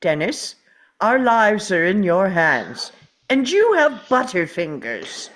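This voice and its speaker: A woman playing an imperious, excitable park owner in a script table read, speaking emphatically and theatrically. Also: mid-range voice, takes it slowly. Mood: dismissive.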